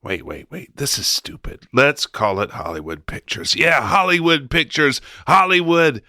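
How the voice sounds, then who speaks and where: A man's voice: a little high, animated, unhurried, pushed and bright, no room sound, heavily acted. A man acting as a scatterbrained, self-important male studio executive in a comic radio drama, blustering and dismissive. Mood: musing, dismissive.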